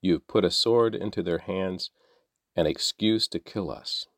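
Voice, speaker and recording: A man's voice: sits low, a little slow, no room sound, clean studio sound. A man voicing desperate male work foremen who plead and reproach. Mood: bitter. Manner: pleading, then bitter.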